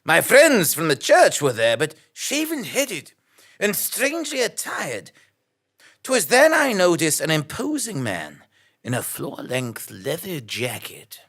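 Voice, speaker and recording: A man's voice: a little high, wildly swooping pitch, slow pace, fairly bright tone, exaggerated voice. A man playing an earnest investigative journalist, narrating his adventure in a florid, melodramatic, old-fashioned way for an audio drama. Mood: dramatic, astonished.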